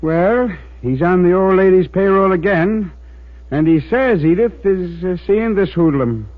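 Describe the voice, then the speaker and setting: An actor playing a dry, teasing American police colleague in an old radio drama, probing with pointed pauses. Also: male voice, sits high. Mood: measured, insistent. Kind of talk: mid-century radio drama acting. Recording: tinny, narrow old radio recording.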